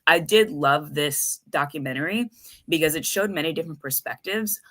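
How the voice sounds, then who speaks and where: A woman co-hosting a casual podcast, brisk and explanatory. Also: low-pitched, fairly bright tone. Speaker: podcast co-host.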